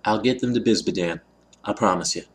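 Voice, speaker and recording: A man's voice: fairly low pitch, a little fast, slightly rough. A man playing an anxious railroad man in a Western fan audio drama, earnest and formal as he pleads and concedes. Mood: earnest, bargaining.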